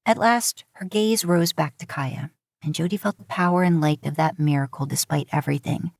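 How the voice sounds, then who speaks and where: A woman's voice: low voice, moderate pace, no echo, clean studio sound. A woman reading a story as a scripted narration. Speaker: podfic narrator. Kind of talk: scripted narration of fan fiction.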